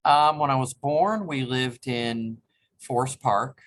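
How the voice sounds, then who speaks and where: A man's voice: medium pitch, takes it slowly, a bit bright, slight background noise. A man in his sixties from Cincinnati, Ohio, a reference librarian, telling his family history in a polite, reflective way with frequent ums. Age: 60s. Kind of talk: oral history interview.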